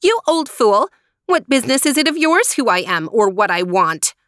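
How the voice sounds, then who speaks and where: A woman voicing a greedy, bad-tempered spoiled girl with a rude, sharp, demanding manner in a storybook tale. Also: mid-range voice, lively intonation, moderate pace, dry recording, studio quality, close to an everyday voice.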